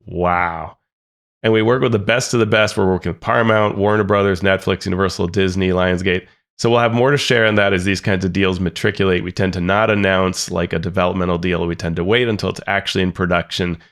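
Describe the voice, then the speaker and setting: A man's voice: low-pitched, fairly even intonation, fairly quick, a bit bright. A man co-hosting a podcast, reading out quotes and commenting on them in a casual, restart-filled way. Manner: reads quotes aloud then comments, many restarts. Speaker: podcast co-host.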